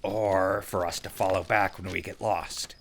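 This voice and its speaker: Low, creaky-voiced wry survivor played by a man. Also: steady tone, measured pace, slight background noise. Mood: businesslike. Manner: casual, hesitant, dryly sarcastic. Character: wry, weary male wasteland survivor running a radio station. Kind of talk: naturalistic audio drama acting.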